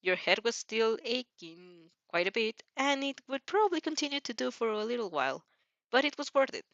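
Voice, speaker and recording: A woman's voice: a little high, big pitch swings, normal speed, fairly bright tone, dry recording, highs cut off. A woman narrating fan fiction dramatically, often stumbling and rephrasing her lines as she reads. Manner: repeats and rephrases phrases.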